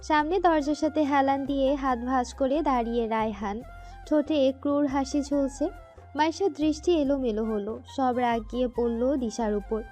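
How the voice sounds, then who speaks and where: A woman's voice: sits high, normal speed, mellow, faint music behind. A woman narrating a scripted romantic story.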